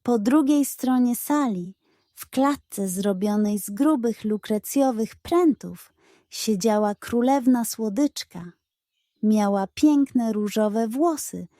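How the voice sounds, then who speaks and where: A woman narrates a children's bedtime fairy tale at a slow, measured pace. Her voice is fairly high and mellow, with expressive delivery. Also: no echo, studio quality. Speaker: storyteller.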